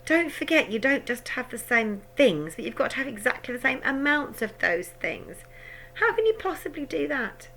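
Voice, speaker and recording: A woman gives a fretful, doubting mother a nagging, worried tone. Her voice lifts and falls in lively swings, with a bright edge and a gravelly rasp. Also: high voice, normal speed, a little hiss or hum, voice pushed up, full character voice. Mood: doubtful, fretful.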